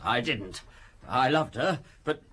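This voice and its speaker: A frightened, besotted respectable fellow in a radio mystery. His voice is tense and rough, faltering with agitation. He sounds thoroughly out of his depth. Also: mid-range voice, lively intonation, slow pace, sharp tone, exaggerated voice. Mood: frightened, pleading. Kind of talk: radio detective drama acting.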